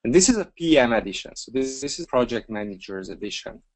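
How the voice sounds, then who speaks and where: A Hungarian man walking through a software feature step by step in a webinar screencast, relaxed and talking through each click with a few fillers. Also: medium pitch, fast talker. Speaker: software trainer.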